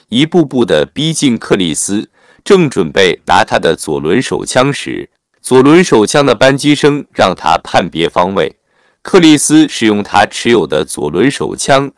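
A man narrates a scripted plot summary in a low voice. His pitch moves up and down in a lively way while he keeps to formal, written phrasing. The sound is dry and close. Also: no room sound. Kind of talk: scripted narration, film recap.

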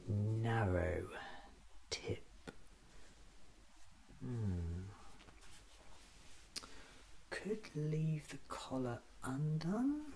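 A man in his thirties doing a soft-spoken ASMR roleplay as a fussy, eccentric doctor musing to himself, often slipping into a whisper. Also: medium pitch, low-quality recording. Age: 30s. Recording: clearly audible background noise.

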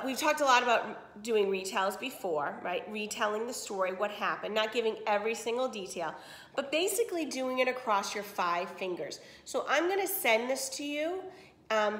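A woman's voice: fairly high pitch, expressive, normal speed, fairly bright tone. A woman in her forties teaching children, reading aloud and explaining casually. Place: small indoor room at home. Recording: roomy home video recording.

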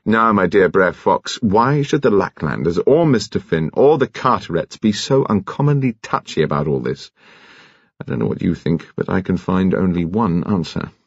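Male reader as English gentleman detective, urbane, polite, measured, lowish husky voice, muffled storybook recording. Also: animated, exaggerated voice. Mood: brisk. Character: urbane, courteous English police detective, calm and probing. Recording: muffled, echoey room.

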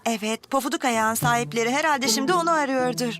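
A woman playing a kind, patient young mother in a children's cartoon, with a gentle, explaining way of talking. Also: a little high, quick pace, lightly acted. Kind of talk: children's cartoon dub acting. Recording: music behind the voice.

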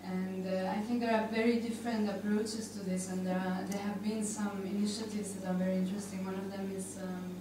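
Young woman, tentative panel participant, heavy room echo and clear background noise. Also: medium pitch, little pitch movement, fairly quick, few pauses. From Argentina. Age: young adult. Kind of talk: informal discussion. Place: indoor conference room. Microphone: handheld microphone. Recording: echoey room, distant, noisy.

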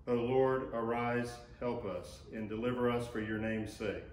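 A man's voice: mid-range voice, steady tone, unhurried, a little mellow. Older man's echoing liturgical responses. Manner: formal recitation. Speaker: assisting minister giving responses. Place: inside a church sanctuary by the altar. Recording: roomy, distant mic with faint noise.

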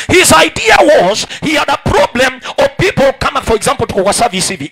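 A young Kenyan man preaching a sermon in a large church hall on a handheld mic, calling out to the congregation and rising into shouts. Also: extremely high pitch, gravelly. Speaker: pastor. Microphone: handheld microphone.

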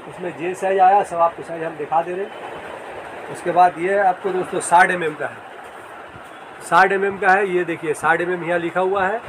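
A man's voice: high-pitched, background noise. A man who runs a fishing tackle shop casually shows his products, repeating sizes and strengths plainly, filmed on a phone in the shop. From India.

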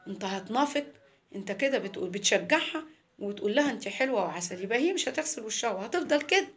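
Woman acting an exasperated, fast-talking mother. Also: a little high, animated. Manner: brisk, scolding, cajoling. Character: exasperated scolding mother, coaxing her daughter.

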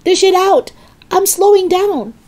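A woman voicing an ancient, bossy grandma from a children's storybook, screechy and exuberantly shouting. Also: very high voice, slow pace, pitched above the performer's own voice, heavily acted. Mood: excited, demanding.

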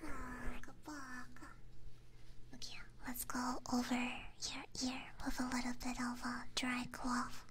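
A woman hosting an ASMR livestream in a soft, breathy whisper, speaking gently and very close to a binaural microphone. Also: high voice, completely flat delivery, slow pace, heavy background noise. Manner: soft, gentle personal attention. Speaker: livestream host. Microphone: close binaural ear microphone.

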